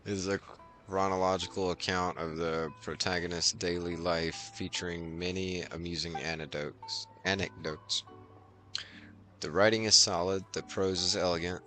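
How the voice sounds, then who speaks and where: A male game streamer reads on-screen text aloud. His voice is deep and fairly monotone, yet bright and forward. Faint music plays behind him. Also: normal speed.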